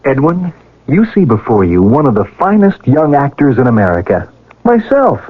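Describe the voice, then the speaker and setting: A cocky young hustler, husky and theatrical, with boastful swoops in his voice. The sound is a dull old radio drama recording. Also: medium pitch, very animated, normal speed. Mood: smug, boastful. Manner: self-satisfied, theatrical. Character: boastful, cocky young American actor turned card hustler.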